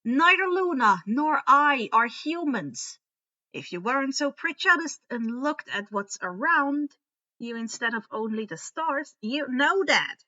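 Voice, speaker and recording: A woman voicing a huffy, exasperated teenage boy who is secretly a dark creature, in an indignant, matter-of-fact way. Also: high voice, lively intonation, a little slow, muffled recording, exaggerated voice.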